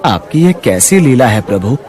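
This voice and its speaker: Man playing a lively, devout temple priest. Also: mid-range voice, normal speed, lightly acted. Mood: awed, reverent. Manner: cordial, reverent. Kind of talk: dramatised Hindi devotional story.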